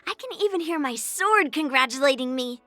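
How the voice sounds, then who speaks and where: A woman voicing a spirited, confident young girl swordswoman in a video game, brash and expressive. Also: high voice, moderate pace, dry recording, soft background music. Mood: proud. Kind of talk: video game character voice lines.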